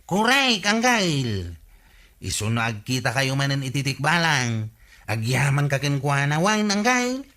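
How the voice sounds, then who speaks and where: Bright-voiced, boastful village fellow. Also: male voice, medium pitch, very animated, a little slow. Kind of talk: Ilocano radio comedy drama acting.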